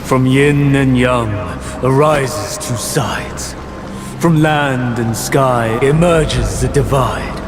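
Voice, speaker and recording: A cryptic, imperious sorcerer, delivers portentous game dialogue in a medium-pitched voice. He is slow and measured, solemn with menace. Loud music surrounds the voice. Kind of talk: video game voice acting.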